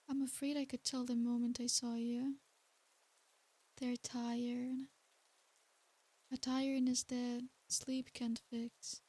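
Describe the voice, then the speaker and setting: Woman playing a high, breathy, slow-speaking succubus. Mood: tender concern. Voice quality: soft, breathy. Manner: soothing, coaxing, hushed, with pet names. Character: tender, doting succubus demon woman, centuries old. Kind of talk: ASMR roleplay.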